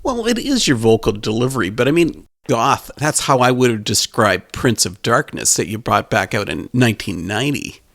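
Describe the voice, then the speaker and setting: Gravelly, lively male radio host. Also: medium pitch, normal speed, few pauses, dry recording, studio quality. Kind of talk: interview questions.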